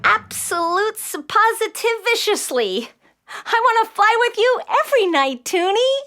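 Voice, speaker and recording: A woman playing a bright, earnest, precocious nine-year-old girl in a children's audio play, articulate and excitable. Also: very high voice, a little slow, heavily acted. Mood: eager.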